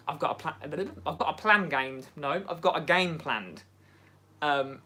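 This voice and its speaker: Chatty Midlands lad hosting a livestream. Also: male voice, a little high. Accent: English Midlands. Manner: chatty and excitable, laughs often, bouncing between topics. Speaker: livestream host. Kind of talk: casual livestream chat reading viewer comments.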